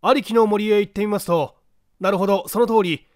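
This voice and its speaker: A man's voice: sits high, fairly even intonation, brisk, darkish tone, dry recording, studio quality, pitched above the performer's own voice, natural voice. A man voicing a dutiful army sergeant major who reports politely and formally in an audiobook. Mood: earnest reporting.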